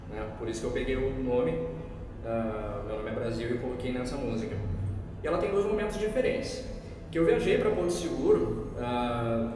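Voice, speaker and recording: A young Brazilian man with a southern Brazilian accent, a guitarist introducing his pieces at a concert in a relaxed, rambling way. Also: medium pitch, background noise. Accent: Southern Brazilian (Rio Grande do Sul). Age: young adult. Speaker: guitarist and composer. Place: concert hall. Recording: echoey hall, distant mic.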